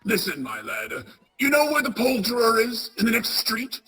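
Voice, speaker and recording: A woman playing an excitable, repentant old male miser in a radio play, stammering and exclaiming. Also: a little high, moderate pace, slight background noise. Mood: giddy, overjoyed. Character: frightened old male miser, desperately repentant, then giddy.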